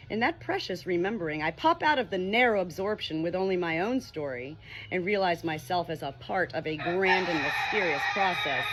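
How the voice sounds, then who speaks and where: A woman reading a meditation book aloud as scripted narration, in a measured, expressive way. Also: mid-range voice. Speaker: audiobook reader.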